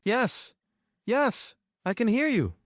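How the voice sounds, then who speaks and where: A woman's voice: a little high, sing-song, slow pace, no echo, clean studio sound. A woman playing an earnest, fussy, hapless young fellow in a stage table read. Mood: earnest, indignant.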